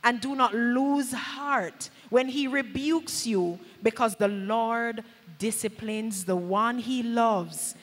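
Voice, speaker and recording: A woman's voice: fairly high pitch, fairly bright tone. A woman in her thirties with a Jamaican accent preaching a sermon, emphatic yet conversational, slipping into Patois, on a handheld mic in a church hall. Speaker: pastor preaching. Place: large church hall at a pulpit. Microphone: handheld microphone. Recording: echoey hall through PA microphone.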